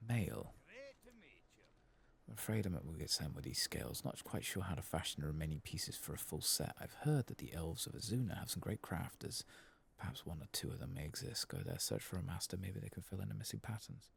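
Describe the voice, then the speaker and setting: Soft spoken asmr gamer guy, real low voice w lots of fry, murmuring over noisy game ambience. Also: lively intonation, a little fast. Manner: murmuring to himself, reading text aloud. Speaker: ASMR gaming channel host.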